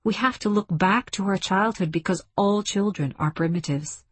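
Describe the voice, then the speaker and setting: A narrator, a woman, plays an impassioned female delegate in a science fiction audiobook. She declaims earnestly and emphatically, with a husky edge and a dry, close sound. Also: medium pitch, normal speed, no echo, natural voice. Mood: urgent, insistent. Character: intense, impassioned woman delegate awakening to alien insight.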